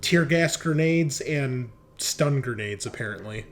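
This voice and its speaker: A man's voice: medium pitch, lively intonation, unhurried. A man narrating a tabletop game as game master, improvising in a casual, conversational way. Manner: conversational, colloquial, with some profanity. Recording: clear background noise.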